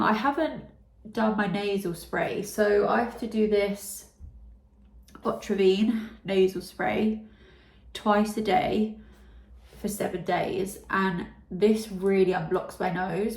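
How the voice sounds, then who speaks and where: A young woman from the UK talking casually in a video diary, her nose blocked and congested, pausing for breath as she chats with many ums and likes. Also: medium pitch, darkish tone, echoey room. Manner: chatty, lots of ums and likes. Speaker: vlogger.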